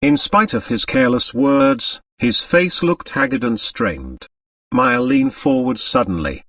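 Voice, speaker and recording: A man's voice: medium pitch, huge pitch swings, measured pace, creaky, fairly bright tone, dry recording, studio quality, distant mic. A man reading a novel aloud as scripted, dramatic audiobook narration.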